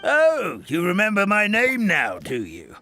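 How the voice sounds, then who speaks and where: An actor playing a fussy, easily flustered elderly forest animal grandpa in a video game. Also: male voice, high-pitched, wildly swooping pitch, measured pace, sharp tone, no room sound, heavily acted. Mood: scolding. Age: older. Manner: flustered, scolding, stammering. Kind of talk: video game character acting.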